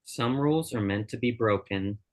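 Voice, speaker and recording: A woman's voice: extremely low pitch, moderate pace. A woman playing a driven, short-tempered female dancer in a screenplay table read, curt and sardonic. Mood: cool, dismissive.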